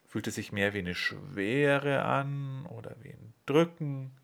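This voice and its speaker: A man leading a guided body-awareness meditation in a slow, calm, soothing way. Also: mid-range voice, creaky.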